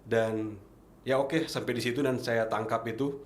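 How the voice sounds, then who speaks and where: A man in his late twenties from Manado, a podcast guest telling his story in an earnest, reflective way with pauses. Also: mid-range voice, fairly even intonation, roomy sound, a little hiss or hum. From Manado, Indonesia. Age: 20s. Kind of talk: casual testimony.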